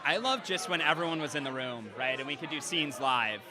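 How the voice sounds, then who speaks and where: Conversational man, slightly high, noisy crowd. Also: lively intonation, a little fast. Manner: conversational, often seeks agreement with "right". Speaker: radio drama writer and director being interviewed. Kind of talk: casual interview chat. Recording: busy crowd chatter behind the voice.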